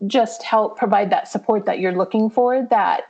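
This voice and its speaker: A woman's voice: medium pitch, normal speed, background noise. Earnest woman host, slightly muffled sound. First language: Romanian. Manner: earnest, rambling with fillers. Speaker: podcast host. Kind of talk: casual conversation.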